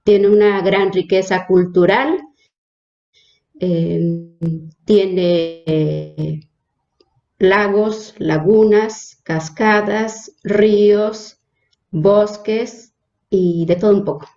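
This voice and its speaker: A religious sister from Hidalgo, Mexico, whose first language is Nahuatl, introducing herself in an interview with a hesitant manner and many fillers. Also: female voice, medium pitch, steady tone, very slow, pauses often, a little mellow, reverberant, muffled recording. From Mexico, Hidalgo state. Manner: hesitant, frequent fillers and restarts.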